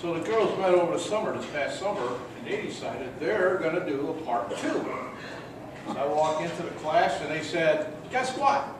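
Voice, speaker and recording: Older male host, slightly high voice, chatty and rambling, very reverberant live room. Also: normal speed, distant mic. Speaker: host and narrator of an amateur radio play. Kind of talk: informal introduction to an audience. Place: large echoey room before a live audience. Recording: very echoey noisy hall, off mic.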